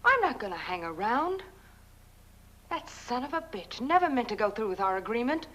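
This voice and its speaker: A woman's voice: on the high side, very animated, moderate pace, a bit bright, low-quality recording. A woman playing a self-centred, fretful wife in a horror-comedy film, with a dramatic, imperious way of talking. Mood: anxious, angry. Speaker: actress.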